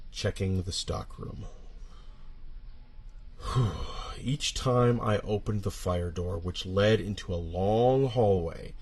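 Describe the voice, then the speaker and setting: A man with a husky voice narrating a first-person horror story, dramatic and suspenseful, with deliberate pauses. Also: sits low, darkish tone.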